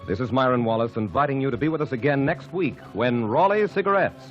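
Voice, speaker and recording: A man's voice: mid-range voice, expressive, fast, soft background music. A man announcing a radio program in a formal 1940s style, reading credits and sponsor copy. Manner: smooth, formal announcer delivery. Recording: dull old radio broadcast.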